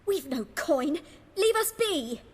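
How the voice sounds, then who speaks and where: An actress playing a wary, weary street performer in a fantasy video game, with a husky, breathy voice. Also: female voice, sits high, very animated, slow, big-room sound, full character voice. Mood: defensive.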